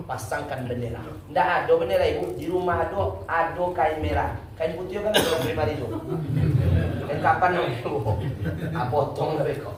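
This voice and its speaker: A man preaching a lively mosque sermon, putting questions to the congregation and answering them himself. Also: fairly high pitch, normal speed. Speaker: Islamic preacher. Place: mosque prayer room. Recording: echoey room, distant mic, faint noise.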